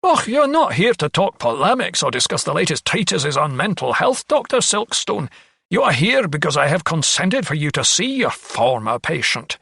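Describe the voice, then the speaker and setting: Male narrator as haughty old asylum doctor; raised pitch, bright blustering delivery, storybook period drama. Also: big pitch swings, normal speed, gravelly, slightly rough, dry recording, clean studio sound, exaggerated voice. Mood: arrogant, curt. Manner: condescending, blustering. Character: elderly Scottish male physician, arrogant, dismissive asylum principal.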